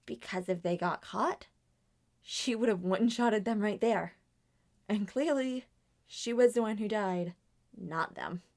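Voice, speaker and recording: A woman voicing earnest, anxious young animated characters in a scripted murder mystery roleplay, with theatrical, deliberate delivery. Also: medium pitch, lightly acted. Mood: earnest, defensive. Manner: theatrical, deliberate acted dialogue.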